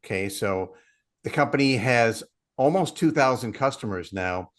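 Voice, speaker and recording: A man's voice: mid-range voice, expressive, moderate pace. A middle-aged man, a partnerships head, explaining steadily and with self-deprecating asides as a guest. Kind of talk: casual interview chat.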